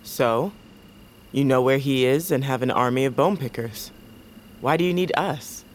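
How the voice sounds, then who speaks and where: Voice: medium pitch, normal speed, slight background noise, lightly acted. An actor playing a bitter, distrustful lone fighter in a fantasy audio drama, speaking in a blunt, combative way. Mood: sceptical. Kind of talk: audio fiction acting. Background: prominent music under the voice.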